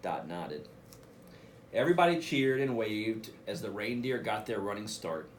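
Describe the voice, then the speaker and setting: A man, a school principal, reading a picture book aloud for children in an expressive way, with casual asides. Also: fairly low pitch, a little slow, slight background noise. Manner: expressive, addresses children directly.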